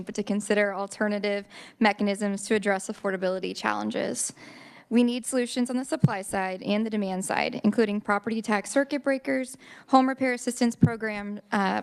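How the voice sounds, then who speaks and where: Earnest woman with a gravelly edge. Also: medium pitch. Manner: steady, earnest delivery with some ums. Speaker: invited speaker for a housing nonprofit. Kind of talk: prepared testimony to a committee. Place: legislative committee room. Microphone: committee table microphone.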